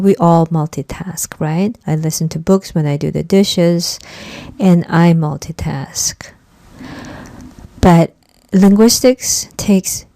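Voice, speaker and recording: A woman's voice: low voice, creaky, mellow, no echo. A woman whose first language is Korean, giving a conversational online class lecture as an instructor and stressing points by repeating words.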